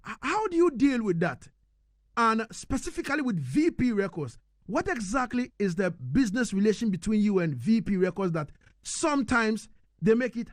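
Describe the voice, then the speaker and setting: A man's voice: high-pitched, dry recording, clean studio sound. A man hosting a radio interview, feeling his way into questions in a conversational style.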